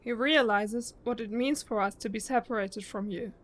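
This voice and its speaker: A woman plays a young girl-group singer in an audio drama. Her voice is very high, and she comes across as anxious and earnest. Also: moderate pace, lightly acted. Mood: earnest, uneasy.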